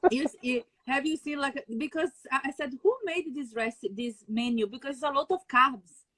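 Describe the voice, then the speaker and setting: A woman's voice: sits high, normal speed, a crowd in the background. A woman from Brazil with a Brazilian Portuguese accent, chatting as a guest chef over a video call, laughing often and searching for words. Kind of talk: casual video-call chat.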